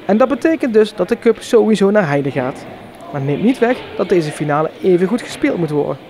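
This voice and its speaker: High-voiced male news narrator over noise. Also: expressive, brisk. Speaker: local TV news reporter. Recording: clear urban ambience noise behind the voice.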